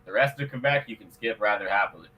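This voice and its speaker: A man's voice: on the low side, fast talker, bright, forceful tone, far from the mic. A man co-hosting a live podcast stream, chatting casually in a rambling way with lots of fillers and offhand swearing. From Canada. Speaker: podcast co-host. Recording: voice a little off-mic.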